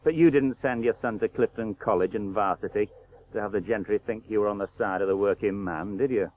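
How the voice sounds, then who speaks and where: Radio play: actor as bitter, blunt working-class veteran cricketer, sardonic and colloquial, tinny old broadcast sound. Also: male voice, fairly low pitch, fairly quick, natural voice. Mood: resigned, reproachful. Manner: plain-spoken, sardonic, colloquial. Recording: tinny narrow-band 1980s radio broadcast.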